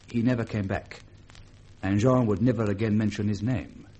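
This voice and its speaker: An actor playing a deferential, gossipy Creole government aide with a French accent, telling tales politely and haltingly in a vintage radio drama. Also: male voice, mid-range voice, darkish tone, slightly rough.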